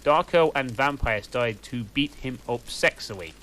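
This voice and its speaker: A man's voice: mid-range voice, expressive, a little slow, a bit bright. A man reading a story aloud for laughs at home, with dry, mocking asides between lines. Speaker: reader. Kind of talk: comic reading of a fanfic.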